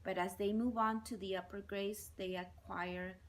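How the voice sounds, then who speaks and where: A woman in her forties whose first language is Spanish, a bilingual teacher giving measured interview answers and listing points one by one. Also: medium pitch, little pitch movement, a little hiss or hum.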